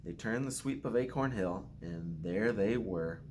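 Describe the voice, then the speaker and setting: A man with a fairly low voice reads a children's picture book aloud in an expressive storyteller's way. He speaks simply, as a principal would to pupils. There is some noise in the home-video recording. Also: unhurried. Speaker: school principal reading to pupils.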